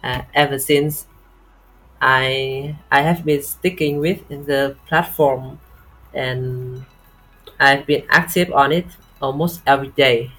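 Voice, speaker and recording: A man from Vietnam with a Vietnamese accent, talking haltingly as a casual interview guest. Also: mid-range voice, steady tone, sharp tone, low-quality recording. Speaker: podcast guest, software tester.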